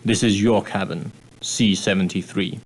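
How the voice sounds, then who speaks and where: Casting: prim male ship's steward, game role. Lowish voice, formal, deferential, precise, dryly polite. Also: fairly even intonation, normal speed, poor audio. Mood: courteous, instructive. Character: prim, proper, straight-laced ship's steward on an ocean liner. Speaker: video game character. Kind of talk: scripted game dialogue, giving guidance.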